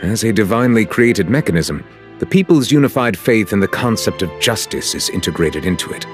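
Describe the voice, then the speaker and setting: An actor playing a solemn, courteous chief justice in a video game, speaking in formal, measured, stately phrases. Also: male voice, lowish voice, lively intonation, faint music behind, natural voice. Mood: grave, measured. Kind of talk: scripted game cutscene dialogue.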